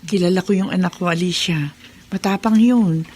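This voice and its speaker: An actress plays a middle-aged widow and mother in a radio drama. Her voice sits a little low, and she talks in a chatty, reassuring, good-natured way. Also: normal speed, a little mellow, lightly acted. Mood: friendly, reassuring. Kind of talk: Tagalog radio drama acting.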